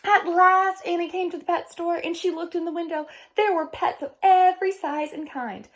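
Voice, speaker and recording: A woman's voice: extremely high pitch, normal speed, fairly bright tone. A woman reading a picture book aloud expressively as a teacher, speaking directly to young listeners.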